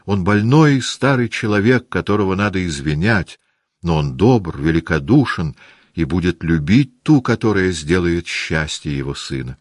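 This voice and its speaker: A male narrator acts out a gentle young princess pleading through a letter. The manner is earnest and apologetic. The sound is close and dry. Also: sits low, lively intonation, moderate pace, dry recording.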